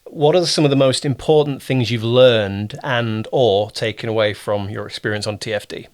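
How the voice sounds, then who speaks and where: A man's voice: mid-range voice, moderate pace, a little mellow. Guy doing a laid-back interview, affable, kind of tentative how he asks stuff. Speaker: interviewer, audio drama producer and director. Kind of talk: casual interview chat.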